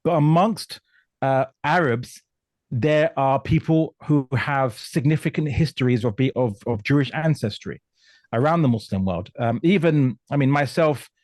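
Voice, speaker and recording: Man, medium pitch, emphatic, stop-start phrasing with repeated words, explanatory discussion style, dry recording. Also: unhurried, darkish tone, no room sound. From Portugal. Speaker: guest commentator on religion and politics.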